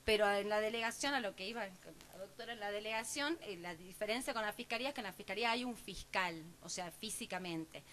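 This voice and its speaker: A woman's voice: mid-range voice, fast, hardly any pauses, a bit bright, a little hiss or hum. A woman, an assistant prosecutor, answering a panel in a formal job interview, explaining at length with many fillers.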